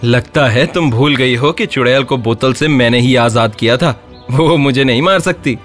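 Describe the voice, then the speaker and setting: A man voicing a clever, fearless, polite young villager in an animated Hindi story. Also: medium pitch, normal speed, faint music behind, lightly acted. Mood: reassuring.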